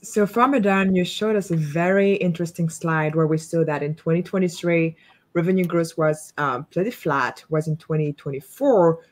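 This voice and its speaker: Woman, 30s, fairly low voice, panel judge, analytical questioning, video call. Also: darkish tone. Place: plain-walled room indoors.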